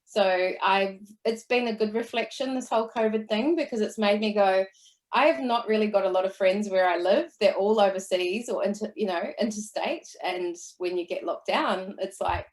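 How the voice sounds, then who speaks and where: A woman in her forties with a New Zealand accent talks as a podcast guest. She tells her stories in an easy, chatty way with a voice that sits in a middle pitch. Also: moderate pace. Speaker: guest, entrepreneur. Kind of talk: casual interview chat.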